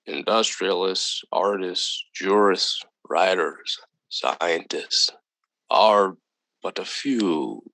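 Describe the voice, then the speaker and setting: A man acting as a calm, condescending alien visitor in a radio drama, speaking in formal, measured phrases. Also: low voice, dry recording, full character voice. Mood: grave, superior. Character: calm, superior alien visitor from another planet.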